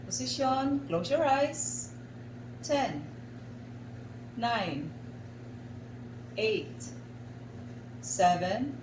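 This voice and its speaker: A woman with a Filipino accent guides an art exercise as a facilitator, giving deliberate instructions in short, separated phrases. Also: on the high side. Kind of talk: slow guided instruction for a radio lesson. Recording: echoey room, clear background noise.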